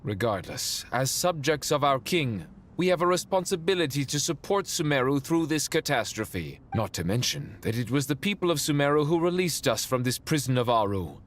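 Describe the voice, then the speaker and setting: A man's voice: medium pitch, animated, normal speed, few pauses, natural voice. A man voicing a dignified, loyal servant of an ancient king in a video game, with formal, stately speech. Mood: dignified, resolute. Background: background music.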